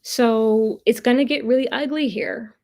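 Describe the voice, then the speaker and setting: Woman, high-pitched voice, casual livestream host, blunt and opinionated, swears freely, emphatic repetition. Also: unhurried, a little mellow. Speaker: host of a pop culture commentary livestream. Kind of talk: casual livestream commentary, chatting with viewers. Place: live online stream.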